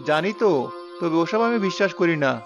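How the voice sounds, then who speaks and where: A fast-talking, high-pitched young male doctor, played by a man, brave and compassionate. He reasons earnestly in a cartoon drama, over clear music. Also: muffled recording. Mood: casual, confident. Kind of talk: animated story acting. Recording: music behind the voice.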